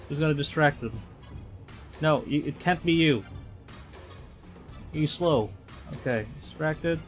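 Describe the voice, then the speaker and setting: A man streams a game in a fairly high voice, taking his time. He mixes reading aloud with excitable commentary and often repeats himself, with clear music playing behind him. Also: slow. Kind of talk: live game commentary mixed with reading visual novel text aloud.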